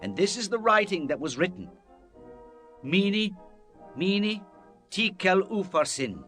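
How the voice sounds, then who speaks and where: An actor playing a stern, fearless Hebrew prophet in a dramatized Bible, solemn and declamatory. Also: male voice, high voice, sing-song, slow, sharp tone, soft background music, exaggerated voice. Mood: grave pronouncement.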